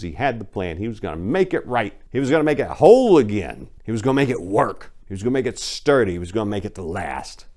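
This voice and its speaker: A man's voice: medium pitch, darkish tone. A man reading fiction dramatically and talking to listeners in an emphatic, colloquial, folksy way. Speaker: author reading their own crime fiction, show host. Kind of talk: dramatic story reading and informal commentary to listeners.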